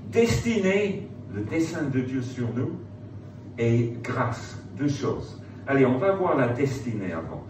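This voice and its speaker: Animated male preacher in an echoey room. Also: fairly high pitch. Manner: conversational, rhetorical questions, emphatic repetition. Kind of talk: informal motivational sermon to young listeners. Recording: echoey room, distant mic, noticeable background noise.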